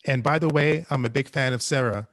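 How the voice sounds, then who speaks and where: A man talking casually as a panelist, halting and often repeating his phrases. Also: medium pitch, fairly bright tone. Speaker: panelist, anthology podcast creator.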